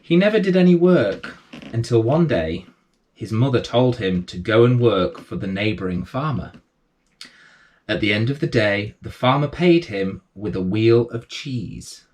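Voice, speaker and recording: A man hosting and reading children's bedtime stories in a gentle, reassuring manner. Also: medium pitch. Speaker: bedtime story reader and host. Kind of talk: storytelling read aloud for children.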